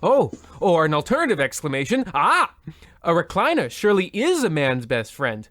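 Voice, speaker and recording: Man acting a pompous half-mad radio-play husband, high voice, wildly swooping delivery, florid exclamations, noisy recording. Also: huge pitch swings, moderate pace, fairly bright tone. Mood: weary, grandiose. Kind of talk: comedic old-time radio play acting.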